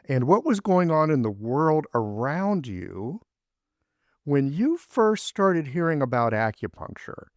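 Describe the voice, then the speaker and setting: A man's voice: mid-range voice, darkish tone, dry recording. A man hosting a podcast interview, asking curious, meandering questions. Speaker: podcast host. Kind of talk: casual interview.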